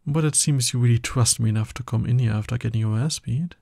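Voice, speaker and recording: A man's voice: mid-range voice, fast, creaky, dark tone, no echo, studio quality. A man playing a smug, dominant villain in an ASMR roleplay, taunting his hero nemesis with drawling exasperation. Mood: cold, teasing.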